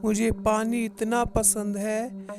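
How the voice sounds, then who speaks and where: A woman's voice: on the high side, level tone. A woman voicing a menacing, gloating witch in a horror cartoon, with a threatening manner. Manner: slow, threatening, cackling. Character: menacing female witch demanding water, gloating. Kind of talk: Hindi horror cartoon voice acting. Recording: loud music under the voice.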